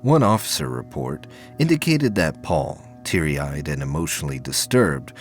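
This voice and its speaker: A man giving a measured, formal scripted narration for a sci-fi audio drama. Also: rumbling low, sing-song, soft background music.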